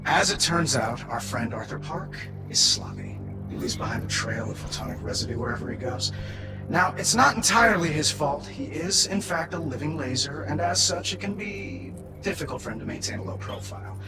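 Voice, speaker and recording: A brash, wisecracking AI assistant from a video game. The voice sits high and swings theatrically, showy and sarcastic with a ruthless streak, over prominent music. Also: male voice, expressive, moderate pace. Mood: eager, scheming. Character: cocky, ruthless, wisecracking AI assistant of a weapons maker.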